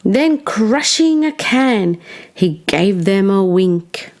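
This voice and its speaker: Female storytime teacher, unhurried delivery with animated intonation, encouraging, addressing children directly. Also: mid-range voice. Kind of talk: children's storytime lesson, expressive read-aloud.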